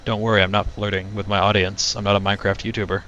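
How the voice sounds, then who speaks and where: A young man streaming a flight game, chatting to his viewers in a low-key, monotone voice. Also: quick pace, a little hiss or hum. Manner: low-key, talks to chat while playing. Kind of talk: casual gaming stream chat. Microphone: cheap headset mic. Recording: dull headset mic with game music.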